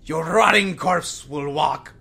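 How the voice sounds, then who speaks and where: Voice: mid-range voice, slow, gravelly, bright, forceful tone, breathy edge, heavily acted. An actor playing a pompous, menacing omniscient narrator in an audio comedy drama, portentous and melodramatic, and easily flustered. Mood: grandiose menace. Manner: portentous, melodramatic, dragging out grim pronouncements. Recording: music behind the voice.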